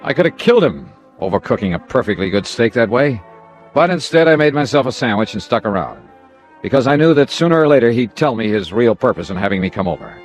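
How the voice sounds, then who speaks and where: An actor playing a wry, hard-boiled American insurance investigator in classic radio drama, clipped and plain-spoken. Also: male voice, medium pitch, animated, quick pace, lightly acted. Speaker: lead actor and narrator of a radio detective drama. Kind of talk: classic radio drama acting, first-person narration. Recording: old radio drama with music.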